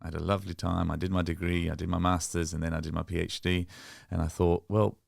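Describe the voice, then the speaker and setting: A middle-aged man speaks as an interview guest, calm and friendly, telling his story at an even pace. His voice sits very low and carries a strong gravelly fry. The room sound is dry and close. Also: fast talker, no room sound. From born in Bangladesh, raised in England. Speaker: guest, sports science professor. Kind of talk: relaxed interview conversation.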